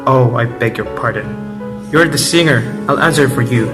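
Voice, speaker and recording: Brash, teasing servant for amateur radio drama, male actor, medium pitch, clear music bed. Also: normal speed, lightly acted. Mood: taunting. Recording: music playing behind the voice.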